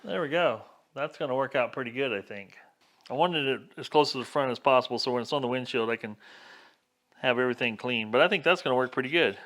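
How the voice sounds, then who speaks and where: Chatty upbeat man, fairly high voice. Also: fairly bright tone. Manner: upbeat, chatty, addresses viewers as folks. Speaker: vlogger. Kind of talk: casual how-to vlog. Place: backyard workshop and boat.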